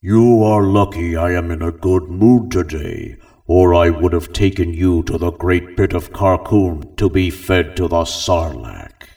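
A man voicing a huge, arrogant male slug crime lord in a deep, slow, booming voice. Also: darkish tone, recorded from a distance. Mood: gloating.

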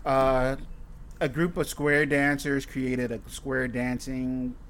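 A man's voice: mid-range voice, little pitch movement, slow, a bit bright, a little hiss or hum. Man, podcast host, casual chat, blunt, swears a lot, rambling.

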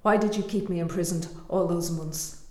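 An actress playing a bitter, determined middle-aged former prisoner in a radio drama, commanding and accusing. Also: female voice, lowish voice, steady tone, normal speed, darkish tone, reverberant, natural voice.

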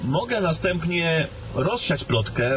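A man's voice: a little high, sing-song, slow pace, noisy background. A man playing a travelling adventurer in a fantasy audio drama. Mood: persuasive. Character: fantasy adventurers, gruff travelling men and a mage.

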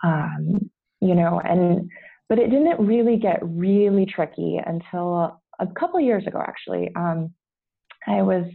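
An American woman with a creaky, husky voice shares her story as an interview guest. She talks candidly and haltingly, rephrasing as she goes. The sound is slightly dull, as if over a remote line. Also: on the low side. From United States. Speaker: podcast guest. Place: at home, remote podcast call.

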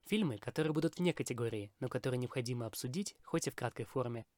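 A man's voice: mid-range voice, animated, quick pace, a little mellow, no room sound. A man hosting a podcast, reading a scripted, opinionated film review in formal phrasing.

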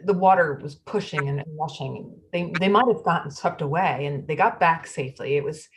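Brief: female reader as a halting flood witness, deep voice. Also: a little hiss or hum, natural voice, rough recording. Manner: halting, repeats words. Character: wife shaken by husband's near miss in floodwater.

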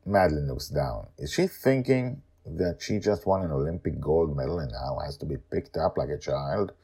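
A man hosting a story podcast, narrating scripted fiction in a theatrical way with dramatic pauses and swoops. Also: rumbling low, animated, dark tone, breathy edge.

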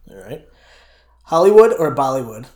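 A man co-hosting a podcast, asking questions in a relaxed, soft-spoken way and laughing along. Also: on the high side, moderate pace. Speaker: podcast co-host. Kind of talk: casual interview questions.

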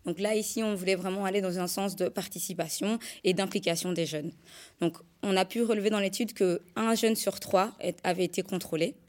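A woman's voice: medium pitch, steady tone, brisk, darkish tone. A young woman presenting a study at a panel, explanatory and structured, often saying "donc" and "euh". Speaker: youth organisation vice-president.

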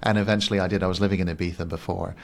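A Swiss-born man in his thirties, a guest coach on a radio interview, speaking in a soft, reflective way and often repeating words as he gathers his thoughts. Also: low voice, level tone, very fast, creaky. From Switzerland.

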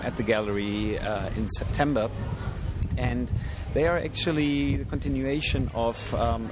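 A man with a mid-pitched voice talks about his art in an interview. He thinks aloud, with many pauses and ums. Street and vehicle noise sit under the recording. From Germany. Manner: thoughtful, hesitant, many ums. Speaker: artist, interview guest. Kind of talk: casual interview. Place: outdoors at an art fair. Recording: noisy outdoor recording.

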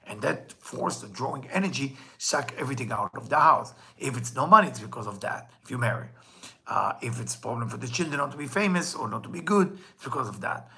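Hebrew-accented guy teaching kabbalah, gravelly and bright, voice rises and falls a lot. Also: medium pitch. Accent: Israeli Hebrew. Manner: earnest, rhetorical questions, switches into Hebrew quotes. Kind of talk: weekly Torah lesson.

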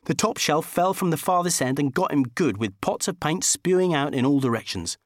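Animated male narrator, wry comic storytelling. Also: fairly high pitch, moderate pace, dry recording, studio quality. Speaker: audiobook narrator. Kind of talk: scripted first-person comic narration.

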